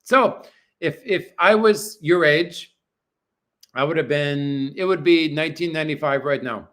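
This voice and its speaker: A man's voice: fairly high pitch, a little slow, fairly bright tone. A man in his forties hosting a livestream from his apartment, answering viewer comments in a crude, profane, blunt way. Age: 40s. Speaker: livestream host. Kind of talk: casual livestream chat reading viewer comments.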